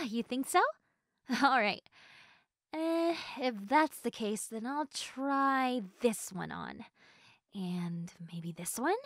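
Playful high-voiced young woman acted by a woman. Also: no echo, clean studio sound, full character voice. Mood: cheerful musing.

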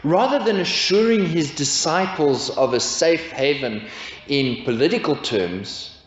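A man in his forties preaching a sermon from a pulpit, asking his congregation rhetorical questions in a measured way. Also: on the high side, animated. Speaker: pastor. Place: church, indoors at a pulpit. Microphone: pulpit stand mic. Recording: echoey church, dull lo-fi sound.

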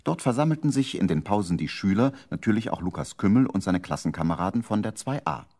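A man's voice: on the low side, lively intonation, quick pace, warm tone. A man narrating a children's radio play as its scripted storyteller. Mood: storytelling.

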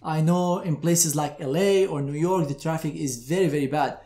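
A man's voice: a little high, normal speed, warm tone, reverberant. A man in his thirties giving a structured, explanatory talk to camera, like a doctor advising graduates. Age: 30s. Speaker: doctor presenting advice to medical graduates. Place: indoor room with shelves, seated. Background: music.

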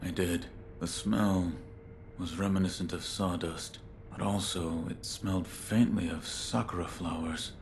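An actor playing a stoic, loyal shinobi warrior in a video game, speaking in terse, grave, restrained phrases. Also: male voice, low voice, fairly even intonation, a little slow, slight background noise. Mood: respectful, grave.

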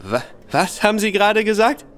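A man's voice: high-pitched, lively intonation, a little fast, fairly bright tone. An actor playing a bitter, tipsy young nobleman who rambles spitefully in a radio play. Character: Sebastian Conroy, young nobleman, bitter, drinking, boastful.

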